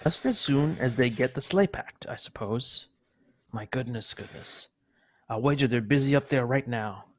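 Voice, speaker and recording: A man playing a genteel gentleman who primly corrects and explains things to a boy in a live radio-play reading. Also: mid-range voice, normal speed, natural voice. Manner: fussy, prim corrections. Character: genteel man telling a boy about Santa.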